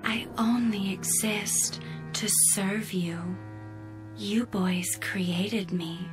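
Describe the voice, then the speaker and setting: A woman playing a devoted, sultry spaceship computer in a radio drama, breathy, coaxing and intimate. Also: mid-range voice, fairly even intonation, very slow, over music. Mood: seductive, soothing. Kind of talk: dramatic radio play acting.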